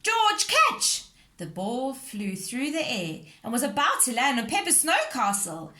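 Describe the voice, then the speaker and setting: A woman's voice: a little high, sing-song, normal speed, bright, forceful tone. A woman reading a scripted children's story aloud as narrator. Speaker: storybook reader.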